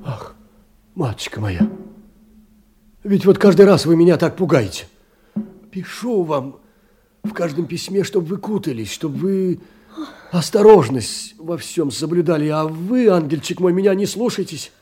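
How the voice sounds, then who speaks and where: An actor playing a tender, fussing, humble middle-aged clerk in a Russian literary stage drama, speaking in sentimental endearments. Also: male voice, fairly high pitch, expressive, a little slow, long pauses, warm tone, breathy edge, soft background music. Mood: anxious pleading.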